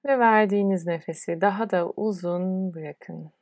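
A woman leading a guided relaxation as a yoga nidra instructor, speaking in a soothing, unhurried way with pauses between instructions. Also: fairly low pitch, a little mellow, no echo, studio quality.

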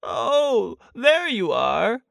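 A narrator voicing a slow, earnest, anxious male toy bear who muses hesitantly in a children's storybook. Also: medium pitch, huge pitch swings, gravelly, fairly bright tone, no room sound, clean studio sound, pitched above the performer's own voice, full character voice. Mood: earnest, practical. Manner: hesitant, deliberate, drawn-out musing.

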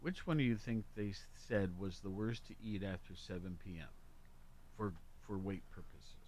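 A man's voice: lowish voice, moderate pace, a little mellow, slight background noise. Casual male co-host reading aloud. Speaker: talk show co-host. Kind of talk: casual chat, reading humorous lists aloud.

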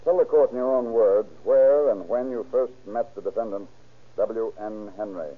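An actor playing a formal, deliberate prosecuting attorney in an old radio courtroom drama. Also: male voice, lowish voice, close to an everyday voice. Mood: formal, probing. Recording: tinny old radio recording.

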